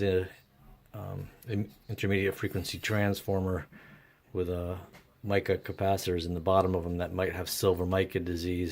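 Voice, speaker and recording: Low-voiced man with a flat delivery. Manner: halting, thinking aloud, mildly profane. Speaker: host of a radio repair video. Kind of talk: casual unscripted repair commentary.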